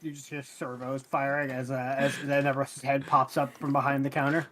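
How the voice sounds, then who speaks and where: A man's voice: mid-range voice, flat delivery, a little fast, fairly bright tone. A man playing a tabletop role-playing game, bantering casually and narrating his character's actions.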